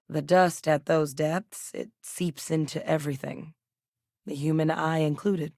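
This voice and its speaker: A seasoned woman scientist and soldier for a video game. Her voice sits on the low side and is recorded close and dry, with no room sound. She speaks in a measured, matter-of-fact way, guarded yet earnest and determined. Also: dry recording. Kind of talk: video game character dialogue.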